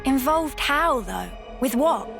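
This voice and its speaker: Young woman soldier, somewhat high pitch, informal, blunt, video game dialogue, loud score underneath. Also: animated, slow, vocal fry, heavily acted. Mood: blunt, questioning. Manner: colloquial, blunt. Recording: loud music behind.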